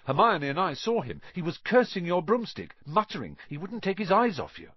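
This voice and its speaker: A man's voice: high-pitched, very animated, brisk, husky, no room sound, highs cut off, voice pushed up, heavily acted. A man voicing an earnest, blunt and loyal schoolboy sidekick in an audiobook, explaining emphatically. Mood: urgent. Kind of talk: audiobook character voice.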